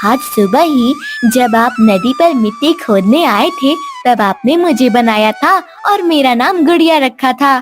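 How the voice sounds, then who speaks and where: A woman's voice: sits high, lively intonation, a little slow, hardly any pauses, soft background music. A woman voicing a sweet, innocent, trusting little girl in a cartoon story. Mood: eager. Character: sweet innocent little girl, clay doll come alive.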